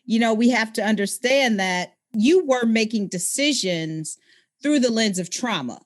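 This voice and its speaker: A woman's voice: fairly high pitch, expressive, a little slow. A woman in her forties hosting a podcast, talking with enthusiastic, affirming energy. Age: 40s. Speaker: podcast host. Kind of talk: casual conversation.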